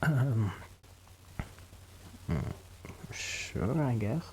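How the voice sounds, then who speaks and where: A man chatting casually and intimately in an ASMR roleplay, soft and hesitant, self-deprecating, often dropping close to a whisper. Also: mid-range voice, expressive, very slow, slight background noise. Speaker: ASMR performer and amateur musician friend.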